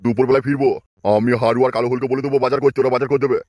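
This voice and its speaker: A man playing a bossy, lecherous village elder in a comic cartoon, with gruff, commanding colloquial speech. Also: mid-range voice, little pitch movement, very fast, no room sound, lo-fi sound, lightly acted. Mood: casual, instructing. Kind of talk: exaggerated comic cartoon dialogue.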